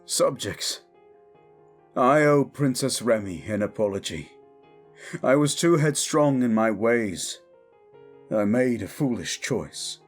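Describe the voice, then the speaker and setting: A man's voice: mid-range voice, animated, takes it slowly, long pauses, faint music behind. A man playing a proud, commanding royal heir in a fantasy audio drama, speaking in formal declarations. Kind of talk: dramatic audio roleplay acting.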